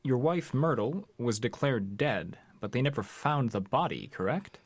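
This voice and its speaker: An actor playing a patient but persistent male interrogator in an audio drama, questioning in a formal, measured way. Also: mid-range voice, lively intonation, no room sound. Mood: suspicious, pressing.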